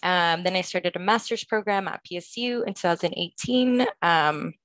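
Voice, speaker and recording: A woman's voice: fairly low pitch, moderate pace, a bit bright, no room sound. A woman giving an informal presentation at an online conference session, speaking somewhat hesitantly with frequent fillers. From Los Angeles, California. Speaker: presenter, student organization advisor.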